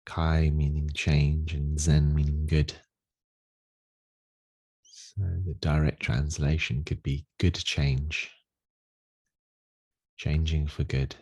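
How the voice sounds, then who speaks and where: A man leading a guided meditation in a gentle, unhurried, soothing manner with long pauses. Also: rumbling low, level tone, no echo. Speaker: meditation teacher.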